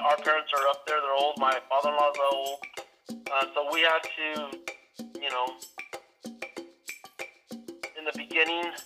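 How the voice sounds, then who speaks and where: A man's voice: on the high side, pushed and bright, low-quality recording. A man from California answering interview questions casually, hesitant and full of restarts and "you know"s. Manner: hesitant, repeats himself, many fillers. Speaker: interviewee, branch manager.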